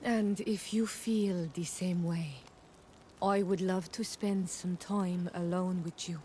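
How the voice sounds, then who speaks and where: An actress playing an earnest, gentle young island tribeswoman in a video game, speaking in measured, formal phrases. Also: lowish voice, steady tone, slight background noise. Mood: earnest, forthright. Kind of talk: video game romance dialogue acting.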